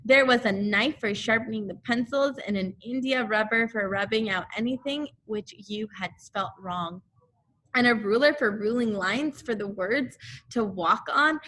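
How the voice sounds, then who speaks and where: A woman reading a children's storybook aloud as a teacher would, with deliberate, careful and expressive phrasing. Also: mid-range voice, poor audio. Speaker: primary school teacher.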